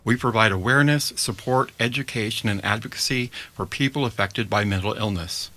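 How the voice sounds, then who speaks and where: A man hosting a community radio program, reading a measured, prepared opening and then interviewing a guest. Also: lowish voice, sharp tone. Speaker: radio host. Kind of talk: scripted intro and interview. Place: radio studio.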